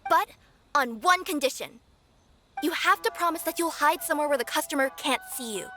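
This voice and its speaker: Anime game cat-girl courier: young, very high, lively intonation, earnest, dutiful, easily flustered. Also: brisk. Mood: earnest, businesslike. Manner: earnest, emphatic, animated. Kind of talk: English anime game dub.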